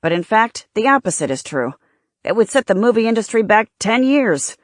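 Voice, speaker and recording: A woman's voice: fairly high pitch, big pitch swings, normal speed, no room sound, pitched above the performer's own voice. A woman voicing a firm, opinionated male studio chief making rhetorical points in an audiobook. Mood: insistent.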